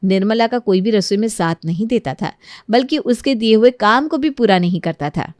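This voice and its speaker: A woman's voice: medium pitch, expressive, normal speed, no room sound, clean studio sound. A woman narrating a scripted moral story as its storyteller.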